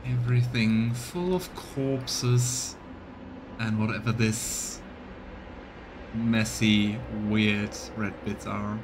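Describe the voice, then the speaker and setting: Male game streamer, chatty commentary, dramatic read-outs of game text, clearly noisy ambient background. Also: on the low side, steady tone, extremely slow. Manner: thinks aloud, chatting with viewers. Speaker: live streamer voicing a game playthrough. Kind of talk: live let's play commentary mixed with dramatic reading of game text.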